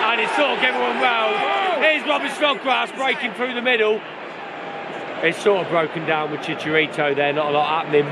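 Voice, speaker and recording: A man, a football fan giving casual analysis of the match from the stands. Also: high-pitched. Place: outdoor football stadium stands. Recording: loud crowd, noisy outdoor stadium.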